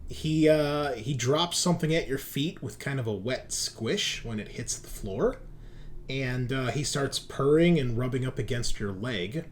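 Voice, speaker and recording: A man working as game master, improvising descriptive narration for a tabletop horror game in a halting way. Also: medium pitch, lively intonation, slight background noise.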